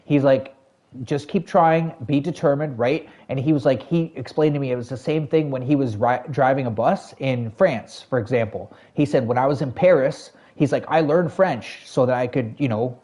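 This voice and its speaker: A man's voice: medium pitch, moderate pace, mellow. A Canadian man streaming a game, telling a long personal story to his chat in a rambling, casual way with many fillers. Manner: rambling, lots of fillers and self-corrections, talks to chat.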